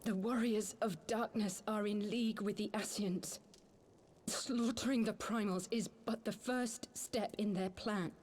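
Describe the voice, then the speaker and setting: A woman acting an injured young noblewoman in a fantasy game. Her mid-pitched voice is weak and breathy, urgent despite the strain, over faint ambient noise. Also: fairly even intonation, unhurried, darkish tone, close to an everyday voice. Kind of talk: video game voice acting, dramatic dialogue.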